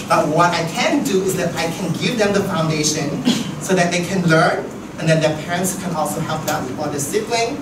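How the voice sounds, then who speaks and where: A man from Southeast Asia, for whom English is not his first language, leading a teacher workshop in a chatty way that keeps asking "right?". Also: on the high side. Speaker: teacher trainer. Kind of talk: workshop discussion. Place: classroom. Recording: echoey room, far mic, noisy.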